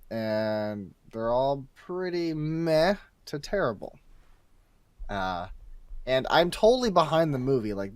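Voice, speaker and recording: A young man chats casually as a guest on a podcast, laying out his opinions in everyday speech. His pitch is average for a man, and the recording is dry, without room sound. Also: no room sound. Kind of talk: casual chat, giving opinions.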